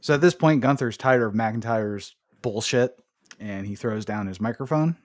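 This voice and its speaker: A man's voice: fairly low pitch, moderate pace, no room sound. Casual laid-back man recapping, dry sound. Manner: swearing, laughing recap with jokey put-on voices. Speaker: podcast host recapping wrestling. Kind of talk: casual chat.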